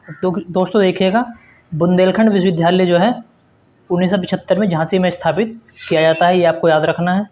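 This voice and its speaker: A man with a notably high-pitched voice teaches an exam-prep lesson. He reads out quiz questions and answers, then explains them in an instructive manner, repeating points for emphasis. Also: steady tone, fairly quick, darkish tone, dull audio, a little hiss or hum.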